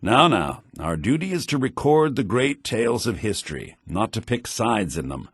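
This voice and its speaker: An actor playing a haughty, grumbling fantasy townsman in a video game, theatrical and sardonic, in low-bitrate audio. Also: very animated, normal speed, slight background noise. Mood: restrained, formal. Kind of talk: video game character voice lines.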